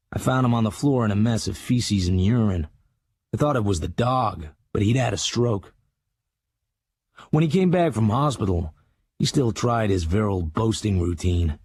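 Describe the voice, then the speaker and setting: An actor playing a haunted, obsessive middle-aged crime writer, narrating his dark memoir in terse confession with a gravelly, husky American voice. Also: lowish voice, no room sound, exaggerated voice. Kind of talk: scripted first-person crime memoir narration.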